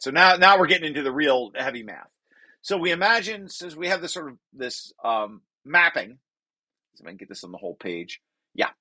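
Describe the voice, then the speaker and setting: A man presenting a technical read-through, shifting into informal, halting explanations and often correcting himself. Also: mid-range voice, bright, forceful tone.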